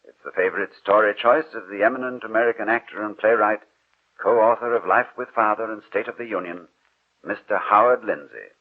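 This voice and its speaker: A man hosting a 1940s radio drama series, announcing in a formal, measured, scripted style. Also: fairly low pitch. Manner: formal, measured, theatrical phrasing. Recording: muffled, narrow-band 1940s radio recording.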